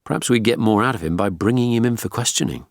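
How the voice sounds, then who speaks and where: A man's voice: mid-range voice, fast talker, no echo, studio quality, voice pushed up, close to an everyday voice. A man playing a male detective superintendent in an audiobook, firm, probing and authoritative. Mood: businesslike.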